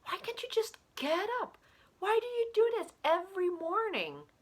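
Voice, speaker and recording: A woman's voice: very high voice, big pitch swings, normal speed, pitched above the performer's own voice. A woman voicing a middle-aged professor husband in a story, pleading in exasperation with his wife. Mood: despairing. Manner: pleading, despairing.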